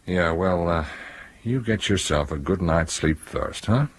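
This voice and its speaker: A man's voice: sits low, a little slow. An actor playing a brusque, self-justifying man in a radio drama. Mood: matter-of-fact. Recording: radio drama with faint background noise.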